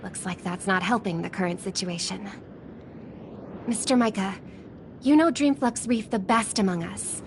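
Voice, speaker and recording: A woman's voice: medium pitch, normal speed, long pauses, slight background noise, close to an everyday voice. A woman playing a poised, diplomatic young leader in a video game, polite and composed. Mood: composed, concerned.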